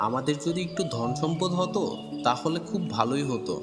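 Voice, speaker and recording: A man's voice: on the high side, animated, a little fast, long reverb tail, music in the background, natural voice. A man playing a poor, respectful, weary village son in a children's fairy-tale cartoon, speaking plainly and plaintively. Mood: wistful, downcast.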